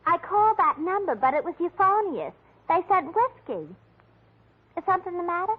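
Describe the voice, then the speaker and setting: An actress playing a scatterbrained, prim secretary who mangles big words in affectedly formal 1940s radio comedy style. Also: female voice, very high voice, very animated, moderate pace. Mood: gushing, scatterbrained. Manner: affectedly formal, full of malapropisms. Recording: muffled vintage 1940s radio recording.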